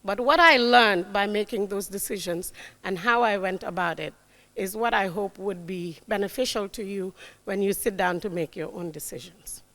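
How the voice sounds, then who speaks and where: A woman's voice: mid-range voice, normal speed. A woman in her forties giving a motivational talk to young people, emphatic, often checking in with "right?". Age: 40s. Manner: warm, emphatic, repeats key words, frequent "right?". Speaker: guest speaker. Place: lecture hall. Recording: long hall reverb.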